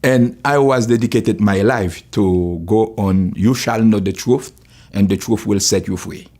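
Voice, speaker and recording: A man's voice: fairly low pitch, a little slow, warm tone. A Haitian-born man in his sixties, a pastor who speaks Creole first, telling his life story earnestly in plain, repetitive English with a Haitian accent. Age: 60s. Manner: earnest, simple phrasing, repeats himself. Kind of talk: personal testimony.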